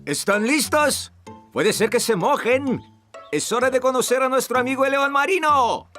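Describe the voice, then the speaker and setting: A man playing an excitable water park showman in a children's cartoon, with a creaky, sharp voice. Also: very high voice, moderate pace, soft background music. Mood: showy, upbeat. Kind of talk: dubbed children's cartoon acting.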